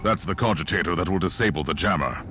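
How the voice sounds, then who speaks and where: An actor playing a grim, zealous Imperial inquisitor in a video game, speaking in solemn, pompous, deliberate declarations. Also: male voice, deep voice, heavy background noise, natural voice. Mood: stern, dry. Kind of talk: dramatic video game voice acting.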